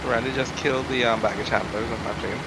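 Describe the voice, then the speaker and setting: A man's voice: mid-range voice, fairly even intonation, fast, heavy background noise. A man chatting with fellow sim pilots, making joking wry asides. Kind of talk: casual chat on voice channel.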